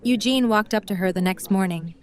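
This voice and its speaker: A woman's voice: medium pitch, brisk. A woman narrating a scripted story as the narrator of an audio drama.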